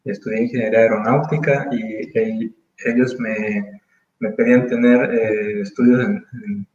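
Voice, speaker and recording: A man in his thirties from Mexico, speaking as an interview guest in casual conversation, thoughtful and full of pauses and restarts. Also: on the low side, steady tone, a little mellow, reverberant, muffled recording. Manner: thoughtful, hesitant, many fillers and restarts.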